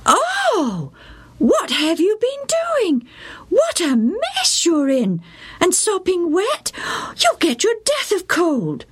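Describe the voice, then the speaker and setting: A woman's voice: very high voice, huge pitch swings, takes it slowly, pitched above the performer's own voice, full character voice. A woman voicing a fretful mother scolding her soaked schoolboy son, in a storybook reading. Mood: alarmed scolding. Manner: fussing, exclaiming.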